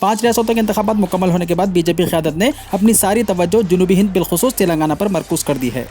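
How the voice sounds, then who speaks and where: A man's voice: sits high, quick pace, warm tone, faint music behind. A man reading scripted news in a formal manner, delivering headlines and stories as a news reader.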